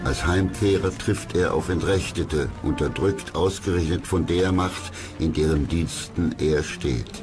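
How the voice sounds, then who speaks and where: A man reading scripted documentary narration in a measured, dramatic storytelling way. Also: sits low. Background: music behind the voice.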